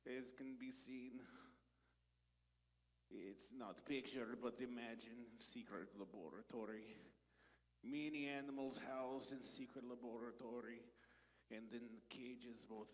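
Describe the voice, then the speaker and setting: A man playing a bumbling foreign male ex-scientist in a church skit, speaking broken English in a deadpan, sighing way. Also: medium pitch, natural voice. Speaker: children's skit performer. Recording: long hall reverb.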